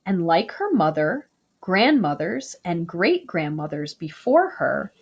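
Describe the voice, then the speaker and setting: A woman reading a children's picture book aloud, slow, gentle and expressive. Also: on the low side, husky, lo-fi sound. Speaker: storybook reader.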